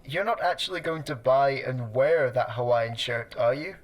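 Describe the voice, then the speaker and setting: An actor playing a fussy, put-upon computer mind stuck in a toaster in a sci-fi comedy radio play, dry, pedantic and exasperated. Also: male voice, medium pitch, moderate pace, lightly acted.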